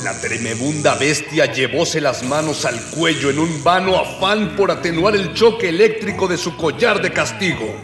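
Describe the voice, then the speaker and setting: A man's voice: on the high side, normal speed, hardly any pauses, over loud music. A man narrating a radio soap in a florid, pompous, mock-epic style. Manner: pompous, theatrical. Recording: echoey, reverberant, noisy recording.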